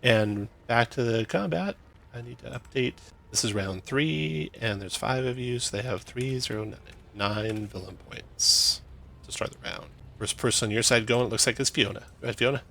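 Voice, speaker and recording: A man acting as game master, narrating a tabletop game in a relaxed, explaining way with fillers. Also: medium pitch, moderate pace, gravelly, slight background noise.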